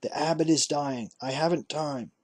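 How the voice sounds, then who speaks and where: A man voicing a hurried, curt young rider, in a husky voice. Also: fairly high pitch, lightly acted.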